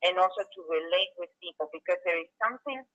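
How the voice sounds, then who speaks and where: A woman's voice: on the low side, fast, a bit bright, lo-fi sound. A woman from Peru speaking on an online call, earnest and reflective, with many "you know" fillers. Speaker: guest speaker. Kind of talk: online video call chat. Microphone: computer mic on a video call.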